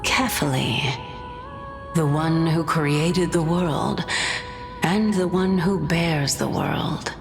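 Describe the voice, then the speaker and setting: A woman voicing an ancient, serene Titan of reason in a video game, measured, lofty and knowing, with an ethereal reverb. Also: low voice, gravelly, full character voice. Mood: solemn. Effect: long ethereal reverb. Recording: long reverb, loud music behind.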